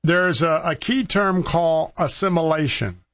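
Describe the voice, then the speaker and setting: An American man hosting an opinionated call-in radio show, talking in a halting way with repeated and restarted phrases. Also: fairly high pitch, a bit bright, no room sound, clean studio sound. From Tampa, Florida, United States. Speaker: talk radio host.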